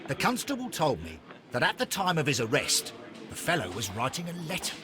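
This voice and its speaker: An actor playing a smug, eager police inspector in a video game, brisk and official in manner. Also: male voice, on the high side, wildly swooping pitch, a crowd in the background. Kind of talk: scripted video game dialogue. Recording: clearly audible background ambience.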